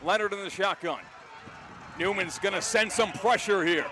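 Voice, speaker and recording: Man, American, high-pitched voice, football radio play-by-play, excitable delivery. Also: moderate pace. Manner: excitable on big plays, shouting calls. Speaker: play-by-play announcer. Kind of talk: live high school football radio commentary.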